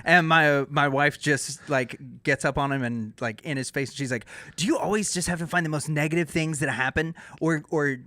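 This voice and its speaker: A man's voice: on the high side, fairly quick, few pauses, pushed and bright, dry recording. Man chatting wryly, close and dry. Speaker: podcast co-host, pastor. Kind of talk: casual chat.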